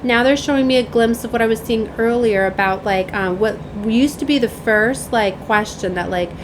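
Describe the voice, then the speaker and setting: A woman's voice: mid-range voice, normal speed, noisy background. A woman doing a spiritual oracle card reading, moving between reading aloud and rambling, informal asides. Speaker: tarot and oracle card reader. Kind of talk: spiritual card reading, part read aloud, part casual chat.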